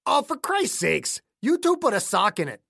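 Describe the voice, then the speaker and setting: A narrator voicing a gruff, scowling older caretaker with a folksy, surly manner. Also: mid-range voice, sing-song, moderate pace, fairly bright tone, no room sound, studio quality, voice pushed up, exaggerated voice. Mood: annoyed. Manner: cantankerous, folksy, tacks "eh" onto sentences.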